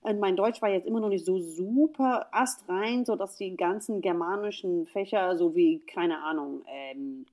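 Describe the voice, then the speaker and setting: A woman from the USA, a gynecologist speaking as a guest in a casual conversation. She is lively, laughs often and talks with many fillers. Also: lowish voice, moderate pace, few pauses. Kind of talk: casual podcast chat.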